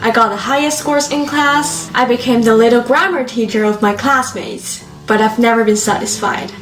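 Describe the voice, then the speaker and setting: High-voiced woman in an echoey room. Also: moderate pace, a bit bright. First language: Chinese. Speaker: blogger. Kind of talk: scripted storytelling narration. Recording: echoey room with background music.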